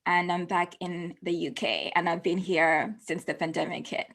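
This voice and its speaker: A woman who grew up in the United States hosts a casual video interview. Her voice sits a little low, and she talks in a chatty, relaxed way, full of small fillers. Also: moderate pace. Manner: conversational, chatty, with frequent fillers. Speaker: host.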